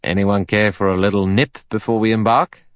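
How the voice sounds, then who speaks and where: A man's voice: lowish voice, animated, normal speed, fairly bright tone, no room sound, studio quality. A man voicing a big, bluff colonial in an audiobook, breezy, hearty and eager to be sociable. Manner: breezy, hospitable, hail-fellow. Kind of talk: audiobook character dialogue.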